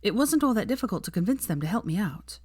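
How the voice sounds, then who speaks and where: A woman's voice: medium pitch, sing-song, quick pace, darkish tone, slightly rough, dry recording, clean studio sound, voice pushed up. A woman voicing an earnest, self-conscious young woman with a careful, slightly stiff manner in a story reading. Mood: awkward.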